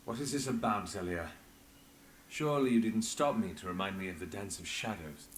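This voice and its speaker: An actor playing a stern, dry inspector in a video game, speaking in measured, clipped, formal lines. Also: male voice, lowish voice, echoey room, a little hiss or hum, close to an everyday voice. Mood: guarded. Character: stern, dry male inspector, guarded and duty-bound. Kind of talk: video game dramatic dialogue.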